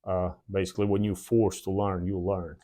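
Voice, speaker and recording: A man in his forties from the former Yugoslavia, with a Slavic accent and a Southern drawl, telling his own story plainly with many "uh" pauses in his shed workshop. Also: sits low, level tone, warm tone. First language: a South Slavic language. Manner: plain, unhurried, frequent "uh" pauses. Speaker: channel host. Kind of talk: casual personal storytelling.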